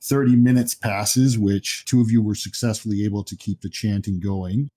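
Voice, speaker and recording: A man's voice: lowish voice, fairly even intonation, moderate pace, warm tone, slight background noise. A man working as game master, narrating a horror tabletop roleplaying game in a conversational, descriptive way. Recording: music behind the voice. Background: music.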